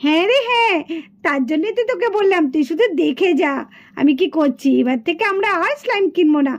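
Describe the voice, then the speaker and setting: A woman voicing an excited, chatty little schoolgirl doll in a children's puppet show. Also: very high-pitched, brisk. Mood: excited, instructive.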